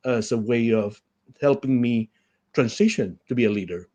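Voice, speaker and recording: A man's voice: mid-range voice, normal speed, darkish tone. Earnest man from the Philippines. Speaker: guest, leadership coach. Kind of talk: interview answers.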